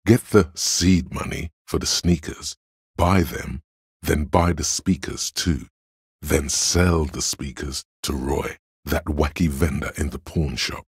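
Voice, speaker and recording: A very deep, gravelly voice of logic. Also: male voice, no room sound, clean studio sound, full character voice. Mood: wry, urging. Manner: measured, deliberate, dryly analytical. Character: cool, analytical inner voice of Logic, dry and superior. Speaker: video game voice actor. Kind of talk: dramatic video game narration.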